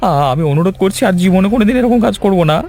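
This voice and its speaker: A man's voice: high-pitched, lively intonation, very fast, darkish tone, soft background music. A man voicing a wicked, cowardly village doctor in a scripted cartoon, with an anxious, faltering delivery. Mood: terrified, begging. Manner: stammering, pleading.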